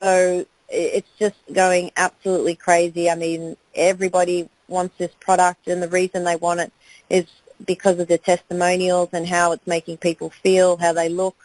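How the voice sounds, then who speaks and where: A woman's voice: fairly low pitch, completely flat delivery, normal speed, a little mellow, no echo. An Australian woman hosting a team phone call, upbeat and enthusiastic. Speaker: call host.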